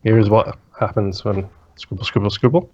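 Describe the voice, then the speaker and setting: A man's voice: fairly low pitch, steady tone, normal speed, darkish tone, dull audio, a little hiss or hum. A man co-hosting a podcast in casual chat, with a wry manner and the odd swear word. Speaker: podcast co-host.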